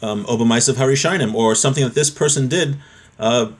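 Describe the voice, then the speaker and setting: A man with a medium-pitched voice teaches a religious law class. He reads from a text and explains it informally. He tends to restate each point in plain, everyday terms. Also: a little fast.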